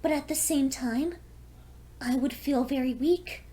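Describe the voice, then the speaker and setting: Seductive vampire mare for audio story, played by a woman: high, mellow, predatory, courteously teasing. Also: measured pace. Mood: coolly predatory. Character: seductive, lonely female vampire pony, sweetly predatory. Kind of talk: fan fiction audio story acting.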